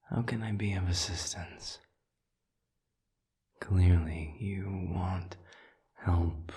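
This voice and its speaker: A man playing a suave, patient demon in an audio roleplay, speaking in a breathy half-whisper with measured, formal, soothing phrasing. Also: deep voice, warm tone. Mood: smooth, inviting. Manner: measured, deliberate, formal phrasing with long pauses. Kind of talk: audio roleplay, intimate one-sided dialogue.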